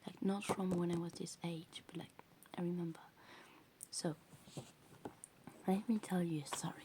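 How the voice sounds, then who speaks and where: A woman with English as a second language, whispering softly in a rambling ASMR show-and-tell full of fillers. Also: lowish voice, steady tone, moderate pace, background noise. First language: not English. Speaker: ASMR creator.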